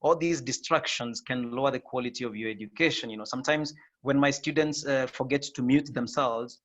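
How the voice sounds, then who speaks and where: A man, a lecturer and TV host, speaking hoarsely with a flu, hesitant with many fillers and restarts. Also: mid-range voice, brisk, a bit bright, lo-fi sound. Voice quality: raspy. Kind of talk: panel discussion answer.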